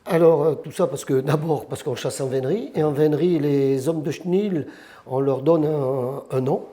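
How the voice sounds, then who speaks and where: Male kennel huntsman, slightly high warm voice, hesitant interview answers. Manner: hesitant, halting with fillers. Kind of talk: documentary interview.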